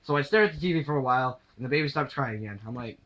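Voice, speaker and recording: A young man telling a casual anecdote to camera in a halting, sweary way, acting out the dialogue as he goes. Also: mid-range voice, animated, fairly bright tone, rough recording. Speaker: storyteller.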